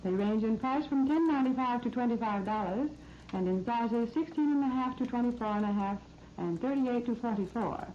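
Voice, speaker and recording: A 1930s American woman reads a scripted shopping feature for radio. Her voice sits fairly high and her delivery is polished and promotional. The old recording is muffled, with faint noise underneath. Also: brisk. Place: radio studio. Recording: muffled, noisy 1939 radio disc recording.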